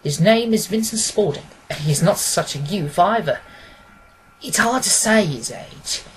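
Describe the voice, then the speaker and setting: A woman's voice: on the low side, animated, unhurried, slight background noise, low-quality recording. A woman voicing a plain-spoken, earnest male pawnbroker who rambles a little, in a storybook reading.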